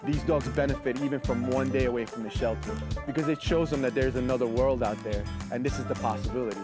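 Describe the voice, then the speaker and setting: A man working as a spokesman, explaining things informally in an interview. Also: medium pitch. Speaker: public information officer, interviewee.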